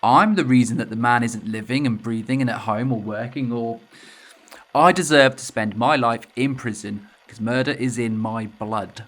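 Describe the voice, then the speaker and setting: A man acts out a guilt-ridden widower and ex-convict in an audio drama. He speaks in a mid-pitched, halting voice. Self-loathing and bitterness run through his confession. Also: fairly bright tone, close to an everyday voice. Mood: bitter, confessing.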